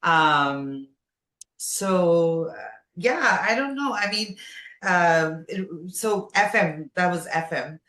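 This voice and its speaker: A woman's voice: fairly low pitch, animated, takes it slowly, a bit bright. A woman from Nepal speaking effusively as a guest in a casual conversational interview. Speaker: podcast guest, former radio jockey. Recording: roomy, echoey sound.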